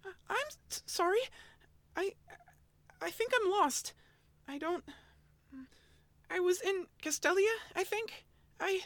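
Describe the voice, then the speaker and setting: Reader doing a scared lost young woman, very high, stammering, storybook reading. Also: slow, pauses often, no room sound, exaggerated voice. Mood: frightened. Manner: stuttering, trembling.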